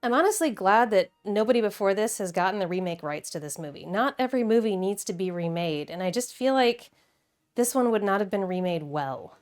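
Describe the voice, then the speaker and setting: A woman hosting a video with casual, informal commentary, expressive and wryly opinionated. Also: medium pitch, brisk. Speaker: video host. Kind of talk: casual video commentary with game play reactions. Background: background music.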